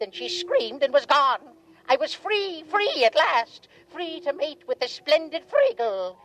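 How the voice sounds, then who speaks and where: A woman's voice: fairly high pitch, low-quality recording. A woman playing a smug, gloating alien creature telling a story with theatrical self-satisfaction in a 1950s radio drama. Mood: exultant. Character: smug, gloating alien husband creature recounting his wife-disposal scheme. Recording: old radio recording with music underneath.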